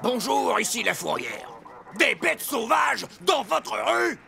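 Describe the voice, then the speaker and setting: A blustering, excitable animal catcher from a cartoon. The voice is thick with gravel yet pitched very high, with hearty, bouncy delivery over clearly audible music. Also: male voice, slow pace, full character voice. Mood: hearty, confident. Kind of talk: French-language cartoon dub acting.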